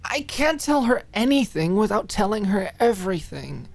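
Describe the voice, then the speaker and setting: A woman's voice: medium pitch, big pitch swings, normal speed, creaky, no echo, pitched above the performer's own voice. A woman voicing a lovelorn, defensive young woman with a raw, miserable voice in an audiobook drama. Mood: defensive, upset.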